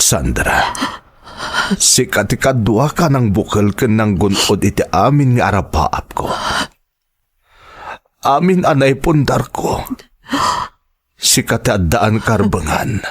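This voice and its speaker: A man's voice: on the low side, very animated, slow, long pauses, breathy edge, exaggerated voice. A man with an Ilocano Filipino accent playing a remorseful middle-aged husband in an audio drama, earnest and pleading. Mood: subdued, earnest. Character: remorseful returning husband and father pleading for forgiveness.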